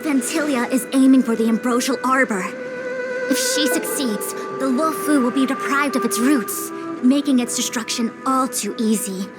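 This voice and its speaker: A woman's voice: sits high, moderate pace, over loud music, natural voice, poor audio. An actress voicing a stern, commanding woman leader in a game, speaking formally and with authority. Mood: resolute. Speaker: game character. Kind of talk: game dialogue voice acting.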